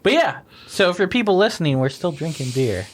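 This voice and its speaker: A man on a laid-back beer podcast talks in a slightly high voice, looping back over his words and laughing often as he chats with friends. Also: big pitch swings, brisk. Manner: rambling, restarts a lot and laughs often. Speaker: podcast co-host. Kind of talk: casual chat over beers.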